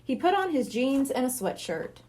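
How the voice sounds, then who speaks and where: A woman reading a children's book aloud in an expressive narrating style. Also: sits high, normal speed. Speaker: storybook reader.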